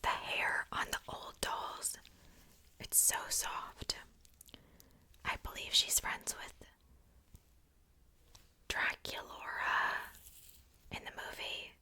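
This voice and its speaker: Young female ASMR creator, fully whispered and breathy, sharp bright sibilance, casual and unhurried.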